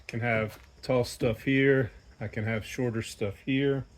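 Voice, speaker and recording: Male DIY host, 50s, informal and hands-on, mid-pitched, thinking aloud over clear background noise. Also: steady tone, takes it slowly. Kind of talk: casual workshop vlog.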